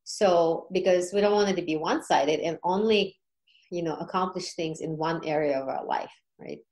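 Low-pitched woman chatting casually. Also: moderate pace, a little mellow. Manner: informal, peppers talk with check-in questions. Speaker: podcast guest, entrepreneur. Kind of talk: casual conversational storytelling.

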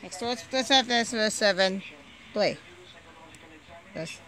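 A person presenting a casual home unboxing video in a halting, disjointed way, often repeating words. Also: medium pitch, background noise. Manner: halting, disjointed, repetitive delivery. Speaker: amateur video maker showing gadgets.

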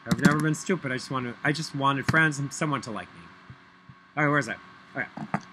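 A man's voice: mid-range voice, brisk, heavy background noise. A middle-aged man ranting angrily and rambling into a webcam at home, swearing often and breaking into songs. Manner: angry, profane, rambling; breaks into loud off-key singing. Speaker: vlogger. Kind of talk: rambling webcam monologue with singing. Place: small room at home, indoors. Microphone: laptop webcam.

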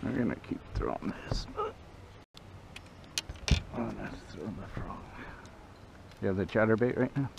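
A middle-aged man chatting casually and joking while bass fishing from a boat on a river, caught by an action camera. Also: lively intonation, unhurried, gravelly, long pauses, very noisy. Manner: laid-back, joking banter. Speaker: fishing vlogger.

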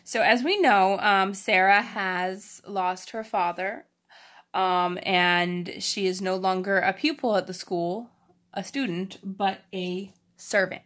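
A woman reads a story aloud to children in an expressive, informal manner, stopping for casual explanations. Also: mid-range voice, slow.

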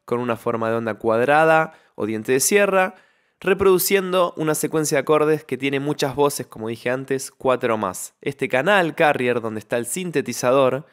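A man with a medium-pitched voice narrates a software tutorial. He explains each step methodically, close to the microphone. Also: animated, normal speed. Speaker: tutorial presenter. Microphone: close microphone voice-over.

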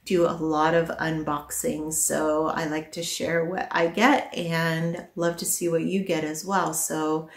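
A middle-aged woman vlogger talks casually through products, thinking aloud as she goes. Her voice sits a little low and moves at a very leisurely pace. The sound is clearly echoey, picked up by a camera mic in a living room. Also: little pitch movement, slow, hardly any pauses. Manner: chatty, unscripted, thinking aloud. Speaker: vlogger presenting a product haul. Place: small living room at home, indoors.

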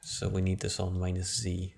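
A man with a low, gravelly voice walks through a software tutorial at his computer. He talks in a flat, relaxed way, thinking aloud and restarting his sentences as he goes. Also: normal speed. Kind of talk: screen-recorded software tutorial.

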